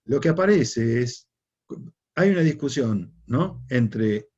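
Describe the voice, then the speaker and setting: A man's voice: medium pitch, animated, slow, a little mellow, breathy edge, highs cut off. A man with an Argentine accent teaching a history class, lecturing conversationally and often checking in with rhetorical questions. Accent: Argentine Spanish.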